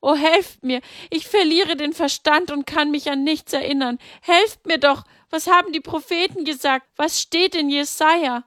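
A woman's voice: unhurried, no echo, studio quality. A woman voicing Mary, a grieving, devout mother who pleads in a raised, anguished voice. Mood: desperate. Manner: pleading, anguished, high-pitched.

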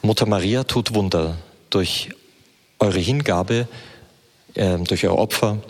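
Male sermon interpreter, lowish voice, fluent with hesitation fillers, long hall reverb. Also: takes it slowly.

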